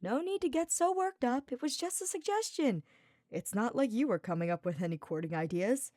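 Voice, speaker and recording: Woman voicing a breezy, cheeky young guy, raised pitch. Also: expressive, brisk, mellow, no echo, studio quality, natural voice. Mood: placating. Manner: casual, placating, teasing.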